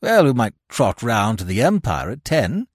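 A man doing a wry, idle young gentleman. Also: medium pitch, sing-song, normal speed, husky, no echo, clean studio sound. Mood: languid. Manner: wry, languid.

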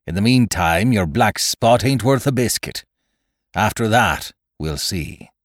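A man acts out a sly, menacing pirate sea cook from an adventure storybook. His rustic sailor talk swings dramatically in pitch and takes its time, full of contempt and command. The sound is close and dry. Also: lively intonation, unhurried, no room sound. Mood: defiant, cool. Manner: rustic old-time sailor speech with theatrical emphasis. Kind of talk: dramatised audiobook reading of a classic adventure story.

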